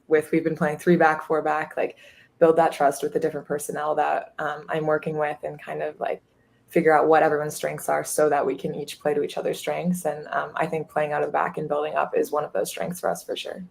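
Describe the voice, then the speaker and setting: Upbeat young woman with a gravelly edge. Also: deep voice, a little mellow. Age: 20s. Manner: upbeat, many fillers like "um" and "like". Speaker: guest, college goalkeeper. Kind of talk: casual podcast interview.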